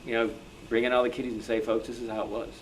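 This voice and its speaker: A man's voice: medium pitch, flat delivery, very fast. A man, a club member, making informal suggestions from the floor at a meeting. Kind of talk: informal suggestions in a group discussion. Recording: distant mic, faint room noise.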